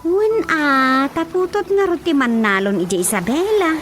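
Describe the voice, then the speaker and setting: A woman with an Ilocano Filipino accent playing an insistent, teasing young rural woman in a comedic radio drama, with expressive delivery. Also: high-pitched, normal speed, faint music behind. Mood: insistent, conversational.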